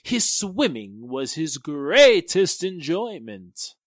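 An actor playing an indignant, blustering, protective gentleman in a pompous 1940s-style radio drama manner. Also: on the high side, very animated, slow pace, no echo, studio quality, heavily acted. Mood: earnest.

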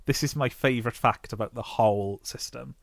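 A man's voice: mid-range voice, a little fast, gravelly, no room sound, clean studio sound. A man co-hosting a podcast, sharing trivia in casual chat and laughing at his own jokes. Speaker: podcast co-host.

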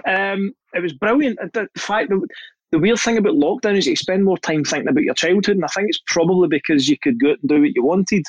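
A man's voice: high-pitched, quick pace, breathy edge, no echo, lo-fi sound. A middle-aged Scottish man, a podcast guest, telling stories in a dry, wry, casual way.

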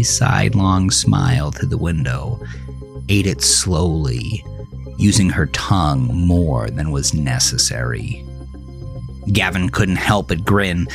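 A man reading a scripted horror thriller story in a measured, deliberate way. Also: deep voice. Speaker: narrator. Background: music.

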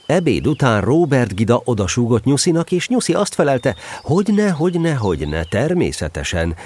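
A man narrating a children's story as an expressive, scripted audio drama narrator. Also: medium pitch.

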